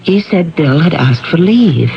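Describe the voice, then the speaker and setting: A woman's voice: deep voice, lively intonation, measured pace, creaky, dull audio, full character voice. An actress playing a troubled, suspicious woman confiding her doubts in a 1970s radio drama. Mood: troubled, insistent. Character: troubled woman confiding suspicions about a man's secret life. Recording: band-limited 1970s radio broadcast.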